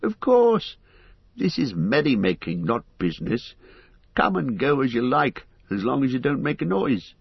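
Old hobbit poet, chatty and wry, brisk banter, read by a man; husky, dull dry audio. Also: medium pitch, very animated, dry recording, heavily acted.

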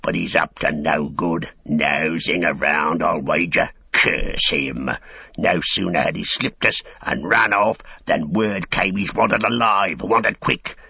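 A man's voice: sits low, takes it slowly, gravelly, husky, no echo, muffled recording, heavily acted. A man voicing a big, brutish male orc soldier in a storybook, snarling threats like a menacing bully. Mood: gruff, surly.

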